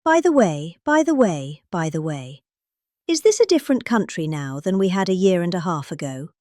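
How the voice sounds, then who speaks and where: A woman's voice: lowish voice, very animated, moderate pace, a little mellow, dry recording, clean studio sound. A middle-aged woman with a British accent giving a dramatic reading of a rambling political speech, with exaggerated emphasis.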